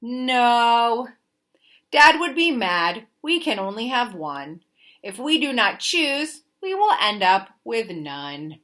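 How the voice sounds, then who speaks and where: A woman reading a children's picture book aloud like a teacher, with expressive, deliberate, sing-song emphasis. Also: on the high side. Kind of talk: animated children's storybook read-aloud.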